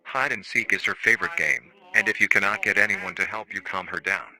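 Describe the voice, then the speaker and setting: A man playing a formal, matter-of-fact research-facility narrator on an analog horror staff training tape, calmly chilling and euphemistic. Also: on the low side, brisk, poor audio. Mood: politely menacing.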